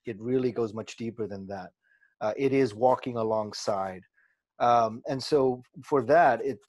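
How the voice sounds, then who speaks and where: A man who is a professor and writer, speaking thoughtfully and in a measured way on a discussion panel. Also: medium pitch, a little mellow, no room sound.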